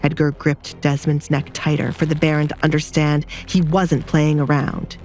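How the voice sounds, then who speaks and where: A woman's voice: deep voice, level tone, normal speed, a little mellow. A woman narrating a scripted dramatic story as an audiobook narrator. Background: background music.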